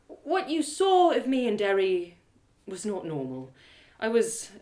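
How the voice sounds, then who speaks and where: A woman's voice: medium pitch, wildly swooping pitch, warm tone. Woman playing a guarded, terse warrior woman. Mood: brooding. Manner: terse, reluctant, halting. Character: Trinia, guarded, icy, troubled warrior woman. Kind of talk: fantasy audio drama reading.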